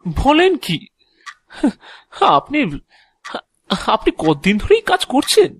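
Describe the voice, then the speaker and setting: Male actor as curious mortal man, hesitant, unhurried, expressive intonation, dry close sound, audio drama. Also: extremely high pitch, no room sound, heavily acted. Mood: astonished. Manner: hesitant, inquisitive. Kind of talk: Bengali audio drama acting.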